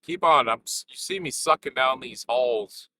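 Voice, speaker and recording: A man's voice: medium pitch, moderate pace, bright, forceful tone. A man hosting a trucker vlog, chatting casually in his truck cab while he drives. He is congested with a sore throat, and he curses at other drivers. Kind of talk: casual chat while driving. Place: inside a semi truck cab on the road.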